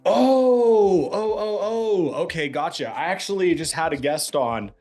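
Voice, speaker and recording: A man's voice: sits high, lively intonation, a little slow, darkish tone, faint music behind. A young man hosting a podcast in an upbeat, laid-back, chatty way. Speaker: podcast host.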